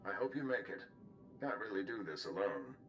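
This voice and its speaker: A man's voice: on the low side, big pitch swings, normal speed, dull audio, very noisy. An actor voicing a sly, self-serving man in a cinematic video game, coaxing and swooping in his emphasis. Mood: coaxing, slippery.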